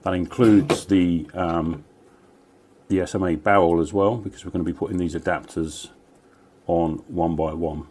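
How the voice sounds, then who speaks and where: A man's voice: sits low, steady tone, moderate pace, a little mellow, breathy edge. A man from southern England, a CB radio hobbyist, chatting casually with radio jargon and walking through a gear demo. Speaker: CB radio hobbyist and video host.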